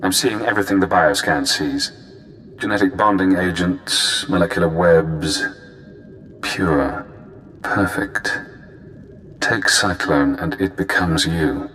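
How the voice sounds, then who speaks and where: An actor playing a cool, cunning spy handler in a video game, speaking in measured, cerebral, clipped phrases. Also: male voice, sits low, sharp tone, slight background noise, heavily acted. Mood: fascinated, calm.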